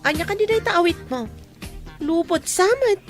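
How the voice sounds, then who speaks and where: An actress with an Ilocano Filipino accent playing an excitable, nosy female friend in a radio drama. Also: very high voice, normal speed, faint music behind. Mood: excited.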